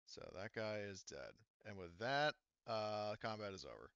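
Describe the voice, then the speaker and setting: A man's voice: lowish voice, big pitch swings, measured pace, a bit bright, smooth, no echo, dull audio. A man casually narrating a tabletop game as game master, thinking aloud through the rules in an informal way.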